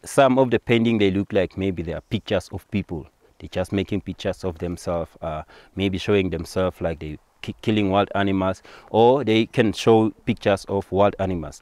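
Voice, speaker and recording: A man's voice: sits low, darkish tone, no room sound. A man with a Southern African accent giving an informal guided tour, explaining things and repeating phrases as he goes.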